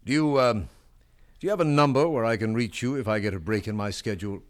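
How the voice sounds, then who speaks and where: A man's voice: mid-range voice, quick pace, close to an everyday voice. An actor playing a smug, arrogant male businessman in a 1970s radio drama, mocking and dismissive. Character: smug male businessman who wronged his partner.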